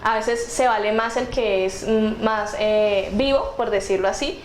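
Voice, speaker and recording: A woman's voice: medium pitch, measured pace, noisy background. A woman with a central Colombian accent from around Bogotá, answering as an interview guest in an earnest, conversational way. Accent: Colombian, central Andean around Bogotá. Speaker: interview guest speaking about faith. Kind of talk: casual conversational interview, personal explanation. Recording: roomy echo with music behind.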